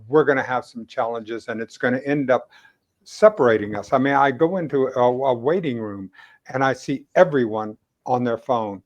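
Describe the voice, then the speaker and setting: A man hosting a conversational interview, speaking earnestly and haltingly, often repeating phrases for emphasis. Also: mid-range voice, highs cut off. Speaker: interviewer, host.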